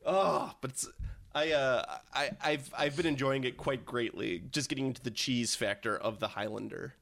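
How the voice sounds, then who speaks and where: A man's voice: medium pitch, moderate pace, vocal fry, fairly bright tone. An American man in his thirties chatting casually and jokingly as a co-host, opinionated and repeating words as he gets going. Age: 30s.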